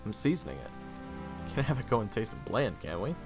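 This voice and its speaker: A man's voice: on the low side, sing-song, normal speed. A man in his twenties playing an easygoing, wry young man who narrates his own story in an audio drama. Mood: casual, amused. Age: 20s. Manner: casual, conversational, wry. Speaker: narrator and lead character. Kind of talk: first-person audio drama narration and dialogue. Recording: music bed behind voice.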